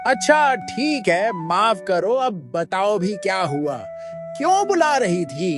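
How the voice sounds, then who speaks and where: A man's voice: sits high, very animated, slow, heavily acted. A man voicing a grumbling but caring village headman and father in a cartoon. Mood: concerned. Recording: faint music behind.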